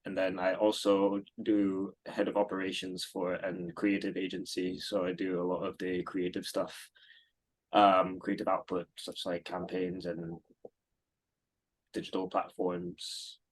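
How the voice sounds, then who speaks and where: A man in his thirties from Singapore, answering interview questions in a relaxed, rambling way with many fillers and restarts. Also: low voice, unhurried. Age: 30s. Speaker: guest.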